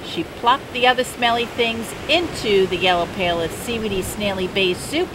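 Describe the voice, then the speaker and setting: An older woman reading a children's picture book aloud in a gentle, unhurried bedtime-story manner. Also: mid-range voice. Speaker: storybook reader. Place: outdoors by the sea. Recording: steady outdoor noise.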